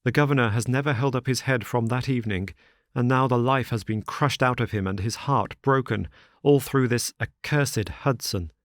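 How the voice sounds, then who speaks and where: A man voicing an anxious, careworn young gentleman who speaks in an urgent, distressed way. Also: medium pitch, normal speed, no room sound, studio quality, pitched above the performer's own voice, natural voice.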